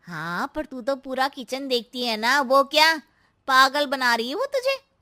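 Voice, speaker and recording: Lady acting as nosy scheming auntie, drags out her fake sympathy, slow n shrill, family story drama. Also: sing-song, sharp tone, full character voice. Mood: needling. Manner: taunting, exaggerated drawn-out sympathy. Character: meddling older paternal aunt, sly and sulky troublemaker. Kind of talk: Hindi moral story voice acting.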